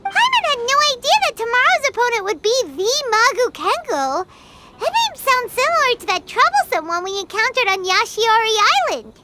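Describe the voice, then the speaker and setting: A very high, squeaky childlike fairy chatters excitably in game dialogue. Her pitch bounces about, over loud background noise. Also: moderate pace. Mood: worried. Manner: refers to self in third person, exclamatory. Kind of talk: video game cutscene dialogue.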